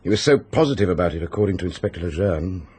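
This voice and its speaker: Low, husky, brusque British sceptic. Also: male voice, expressive, quick pace, darkish tone. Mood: matter-of-fact. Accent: British English. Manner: blunt, dismissive. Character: brusque, sceptical male medical man, no-nonsense. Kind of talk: mid-century British radio drama acting.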